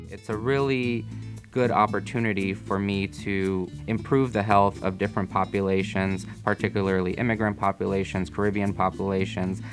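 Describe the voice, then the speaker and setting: Calm low-voiced man, even delivery. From United States (Miami, Florida). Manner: calm, measured, with occasional fillers. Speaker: emergency physician. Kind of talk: interview testimonial.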